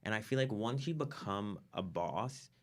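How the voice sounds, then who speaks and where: A man's voice: lowish voice, lively intonation, moderate pace. A man hosting a podcast, talking in an upbeat, easygoing way. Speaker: podcast host. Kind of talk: casual chat over cocktails.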